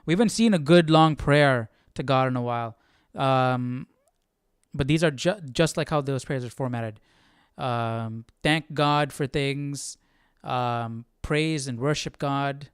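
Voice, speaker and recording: A man's voice: fairly high pitch, clear, resonant tone, no echo, clean studio sound. A man hosting a Bible study stream, talking casually and reasoning through questions in a rambling, searching way. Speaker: Bible study livestream host. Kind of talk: casual thinking aloud, conversational.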